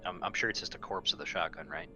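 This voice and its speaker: A man's voice: low voice, fast talker, pushed and bright, faint music behind. A man playing a tabletop game, talking casually in and out of character with a dry, joking manner.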